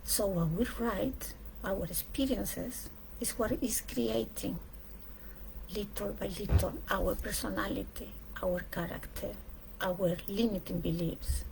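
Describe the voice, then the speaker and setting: Female speaker, for a reflective spiritual talk; unhurried delivery in short weighted phrases, gravelly creak in the voice. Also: mid-range voice, background noise. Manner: deliberate, emphatic phrasing in short pieces with pauses.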